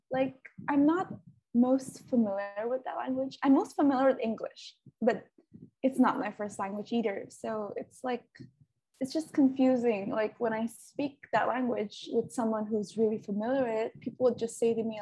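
A young woman of Chinese background hosts a casual podcast. Her voice is high and somewhat breathy, and she talks in a loose, chatty way, dropping "like" often. Hokkien is her first language. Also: mellow, low-quality recording. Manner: chatty, peppered with "like". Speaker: podcast host.

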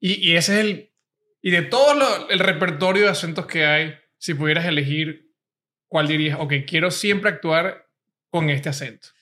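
A man's voice: on the high side, normal speed, sharp tone. A man hosting a podcast, chatting in a playful, teasing way. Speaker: podcast host. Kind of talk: casual chat.

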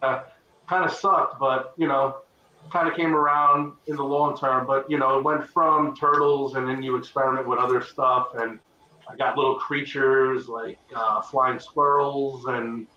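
A man from Texas, answering as a guest over a video call in a rambling, halting way with many restarts. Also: mid-range voice, fairly even intonation, sharp tone, a little hiss or hum. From Texas, north of Dallas. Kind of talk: casual interview talk. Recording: roomy, distant, muffled call audio.

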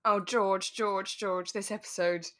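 A woman co-hosting a relaxed discussion podcast, retelling things expressively in a conversational way. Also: mid-range voice, a little slow. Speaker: podcast co-host. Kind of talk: relaxed chat reviewing a radio drama.

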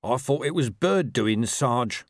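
A man's voice: mid-range voice, expressive, slow, dry recording, clean studio sound, voice pushed up. A man voicing a dutiful, plodding police constable who speaks hesitantly and deferentially in a storybook reading. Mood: earnest, deferential.

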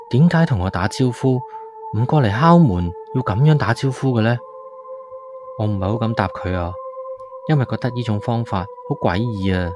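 A man's voice: on the low side, close to an everyday voice. A man with a Hong Kong accent playing an uneasy city tenant, narrating a ghost story in a conversational, confiding way for a radio drama. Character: uneasy male city tenant moved to an old village house. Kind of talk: first-person ghost story narration, colloquial. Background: background music.

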